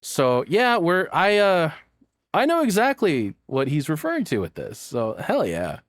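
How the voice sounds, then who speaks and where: A man's voice: on the high side, unhurried, dry recording. A man giving casual, rambling reaction commentary as a streamer, swearing freely, on a close mic. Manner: profane, rambling, expressive.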